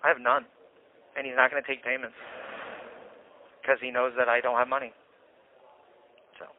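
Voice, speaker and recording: A man's voice: mid-range voice, level tone, moderate pace, a little hiss or hum. A man with an American accent talking casually on a phone call from jail, venting and explaining himself insistently. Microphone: telephone handset. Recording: tinny, band-limited jail phone line.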